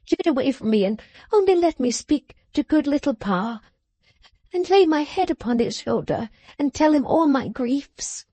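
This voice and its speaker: A woman's voice: sits high, lively intonation, normal speed, mellow, no echo, voice pushed up. A woman voicing a young woman crying and pleading in a storybook reading. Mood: tearful pleading. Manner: pleading, breathless.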